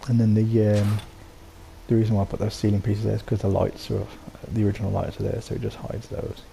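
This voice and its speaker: A man with a low, gravelly voice, made croaky by a sore throat. His tone is dark and mellow, and he rambles along with ums and false starts as he walks through his build. A faint hiss sits under the voice. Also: little pitch movement, brisk, poor audio. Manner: rambling, full of ums and restarts. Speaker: game settlement builder. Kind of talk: casual voice-over walkthrough.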